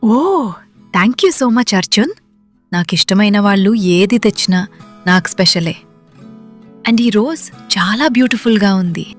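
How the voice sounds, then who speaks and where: A woman acts out a rich young lady in a cartoon story, lonely and love-struck. Her voice sits at a middle pitch. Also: expressive, measured pace, pauses often, faint music behind. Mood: delighted, grateful.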